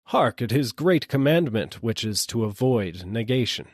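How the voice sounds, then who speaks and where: A man's voice: mid-range voice, animated, moderate pace, no room sound, clean studio sound, close to an everyday voice. A man voicing a jeering, mocking metaphysician in a storybook, with theatrical old-fashioned phrasing.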